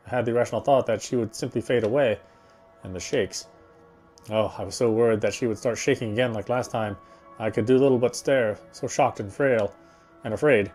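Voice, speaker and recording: A man reading visual novel narration aloud in a dramatic, reflective way with deliberate phrasing. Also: fairly low pitch, a little mellow. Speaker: game streamer reading aloud.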